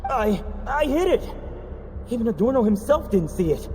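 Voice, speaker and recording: An actor playing a frail, desperate and suspicious male experimenter in a video game, halting and strained. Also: extremely high pitch, big pitch swings, mellow, slight background noise. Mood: shaken. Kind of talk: dramatic video game voice acting.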